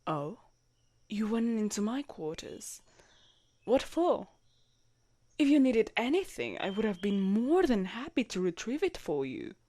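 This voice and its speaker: A woman's voice: mid-range voice, very animated, measured pace, pauses often, mellow, dry recording. A woman playing a loyal young knight commander in a roleplay audio drama, formal and courteous but easily flustered. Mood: fond, gentle.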